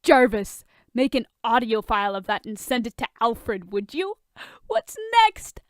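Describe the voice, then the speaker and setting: Woman doing a lively, sarcastic billionaire playboy. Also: on the high side, measured pace, dry recording, studio quality. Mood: teasing. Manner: sarcastic, goading. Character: playful, teasing, hard-partying billionaire man, smirking wisecracker.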